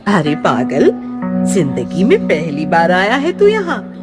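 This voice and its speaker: A woman's voice: on the low side, slow pace, loud music behind the voice, exaggerated voice. A woman voicing an affectionate, emotional, motherly aunt in an audio story. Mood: fond, teasing. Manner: fond, motherly, expressive.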